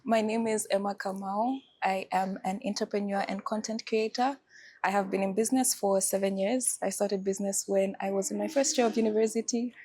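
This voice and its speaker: A woman's voice: mid-range voice, fairly even intonation, brisk, mellow. A young adult woman, an entrepreneur and content creator, introducing herself in an upbeat, straightforward way. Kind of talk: panel self-introduction.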